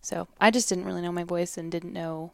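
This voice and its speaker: A woman with a soft voice speaking as a musician guest in a casual interview, hesitant and full of fillers and restarts. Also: lowish voice, fairly even intonation, fairly quick, gravelly, no echo.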